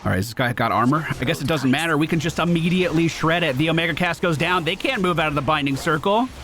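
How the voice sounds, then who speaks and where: A man giving casual live gameplay commentary as a streamer, slangy and excitable, with some swearing. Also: fairly high pitch, quick pace. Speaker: gaming streamer. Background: faint game music.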